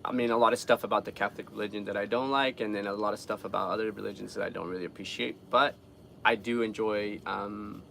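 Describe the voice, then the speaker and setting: A man's voice: lowish voice, fairly even intonation, fast talker, vocal fry, bright, forceful tone, slight background noise. A young man from Guatemala chatting casually with live viewers in an earnest, rambling, informal way. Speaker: live streamer.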